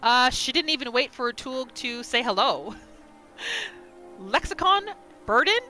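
A woman's voice: high-pitched, big pitch swings, slow, bright, forceful tone. A woman hosting a gaming video, giving reactive roleplay commentary over gameplay. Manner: reactive, narrates her character in third person. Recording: faint game music behind.

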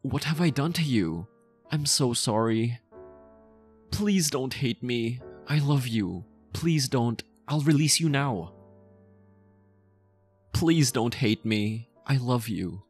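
A man playing a possessive, lovestruck mafia boss in an intimate ASMR roleplay, speaking softly and coaxingly, obsessive yet tender. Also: medium pitch, expressive, husky, faint music behind. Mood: pleading, lovestruck. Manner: slow, soft, coaxing, close and intimate.

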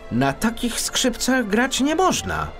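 A man's voice: extremely high pitch, big pitch swings, slow pace, creaky, fairly bright tone, soft background music, pitched above the performer's own voice, heavily acted. A man voicing a bored, capricious young princess in a fairy tale. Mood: dismissive.

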